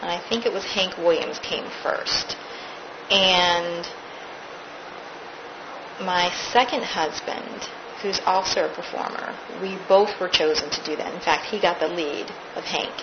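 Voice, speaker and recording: A woman's voice: fairly low pitch, fairly even intonation, a little slow, highs cut off, background noise. A woman, a stage actress, recounting her theater career in a casual interview, laughing now and then.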